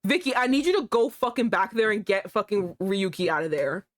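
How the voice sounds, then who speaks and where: A woman hosting a game stream, excitable and laughing a lot, swearing freely as she reacts. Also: medium pitch, brisk. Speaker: streamer, host. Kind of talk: casual game stream chat. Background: game music.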